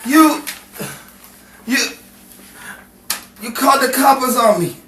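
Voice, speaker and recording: A man's voice: extremely high pitch, takes it slowly, pushed and bright, full character voice. A man playing a cocky, menacing gangster boyfriend with slangy tough-guy talk in a 1950s crime melodrama. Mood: wounded, accusing. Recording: roomy, distant mic, faint noise.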